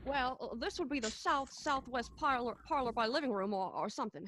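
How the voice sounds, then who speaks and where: A woman playing a brash but awkward male reporter in radio comedy style, halting and stammering, on a home recording. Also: high voice, expressive, fairly bright tone, slight background noise. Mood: brash, grumbling. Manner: hesitant, stammering drawl with frequent uhs. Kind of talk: 1940s radio comedy acting.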